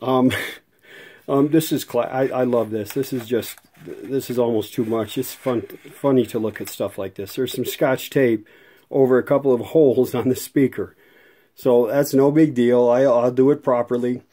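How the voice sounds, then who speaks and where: A man with a mellow, relaxed voice and a middling pitch talks through hands-on work. His delivery is casual and unscripted, with a folksy turn of phrase. He rambles a little, thinking aloud as a host showing viewers around. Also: normal speed. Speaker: host, radio restorer.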